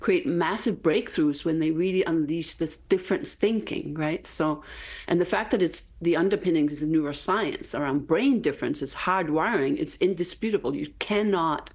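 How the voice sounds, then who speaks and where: Low-voiced Danish woman author. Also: moderate pace. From Denmark. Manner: earnest, emphatic, frequent "right?" tags. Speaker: author guest. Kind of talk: TV interview.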